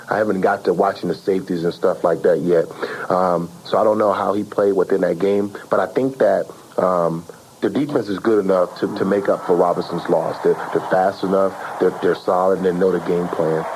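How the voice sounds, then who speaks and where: A man giving casual, halting answers as a football player in a sports interview. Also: sits low, steady tone, darkish tone, slightly rough, rough recording.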